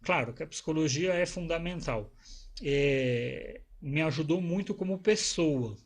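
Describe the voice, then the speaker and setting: A man's voice: a little high, measured pace. A man, a psychologist talking casually to camera about his own life, in a conversational, rambling way with restarts and tag questions. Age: 40s. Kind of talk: casual personal talk to camera.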